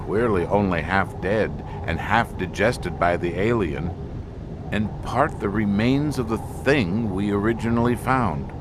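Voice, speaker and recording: Grave male scientist played by a man, audio drama. Lowish, very varied intonation, deliberate halting pauses, clear background noise. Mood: grave, haunted. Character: grave male expedition scientist explaining an alien menace.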